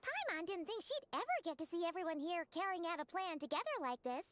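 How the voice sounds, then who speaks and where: A woman's voice: extremely high pitch, expressive, fast talker, noisy background. A woman voicing a small, eager, youthful girlish companion in video game dialogue. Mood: earnest, chirpy.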